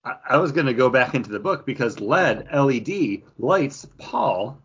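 A man with a husky, mid-pitched voice chats as a podcast co-host. His intonation is lively though his phrasing halts, and the recording is slightly dull. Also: moderate pace. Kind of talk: casual chat.

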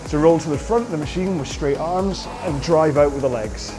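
A man coaching a rowing workout, calling out cues in an upbeat, jokey way, his voice slightly hoarse. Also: a little high, moderate pace, poor audio. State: a bit hoarse. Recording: music playing behind. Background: workout music.

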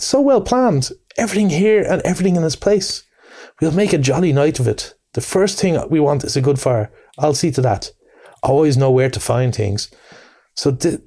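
Man putting on a hearty male water rat, raised brisk voice, cheery and encouraging, storybook style. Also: a little high, mellow, voice pushed up. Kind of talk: storybook reading.

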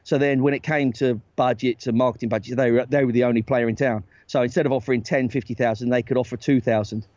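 A man, a talent agent and guest on a podcast, telling stories in a loose, animated way with lots of "right?" tag questions. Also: medium pitch, fast, hardly any pauses, no echo. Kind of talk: casual storytelling chat. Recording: dry, dull lo-fi sound.

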